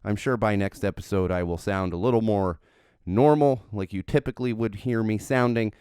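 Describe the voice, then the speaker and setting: A man's voice: fairly low pitch, moderate pace, a little mellow, clean tone, dry recording, clean studio sound. A married man hosting his own solo podcast, talking casually and rambling a bit, sounding slightly nasal from an ear infection. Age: adult, married father. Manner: rambling, self-correcting, candid. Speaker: podcast host. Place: home studio. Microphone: studio microphone with headphones.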